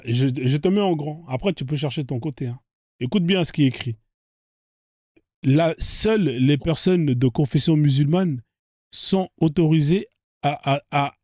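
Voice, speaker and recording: A man with a fairly high, mellow voice hosts a live debate and presses hard with the same questions again and again. The sound is dry and close. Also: unhurried, no room sound. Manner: pressing, repeats questions insistently.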